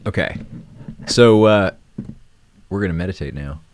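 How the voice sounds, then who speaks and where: Low-pitched man in casual livestream chat. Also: unhurried. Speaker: livestream host. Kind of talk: casual live stream chat.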